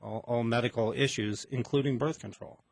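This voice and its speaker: A man's voice: mid-range voice, steady tone, a little fast, vocal fry, a little hiss or hum. A man arguing as a guest in an unscripted political radio debate, speaking hesitantly and often repeating and restarting his phrases.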